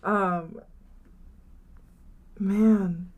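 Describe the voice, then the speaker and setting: A woman's voice: medium pitch, drawn-out words, highs cut off. A woman in her twenties co-hosting a podcast, chatting casually and rambling through stories with lots of "like" and "um". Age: 20s. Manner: rambling, many likes and ums, animated retellings. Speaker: podcast co-host. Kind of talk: casual chat.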